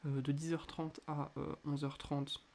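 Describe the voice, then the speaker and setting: Man with a stuffy nose, gravelly, slightly high voice, quiet hesitant podcast chat, faint hiss. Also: little pitch movement, measured pace. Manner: hesitant, many filler sounds, speaks quietly. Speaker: podcast host. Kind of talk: casual solo podcast advice. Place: indoors at home at night.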